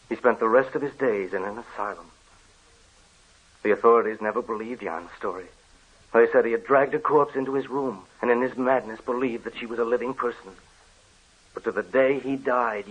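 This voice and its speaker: A brooding storyteller recounts a dark family legend in calm, measured tones. His voice is husky and sits at an ordinary pitch. The delivery has the polish of a vintage radio drama. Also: low-quality recording. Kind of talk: 1940s radio drama narration.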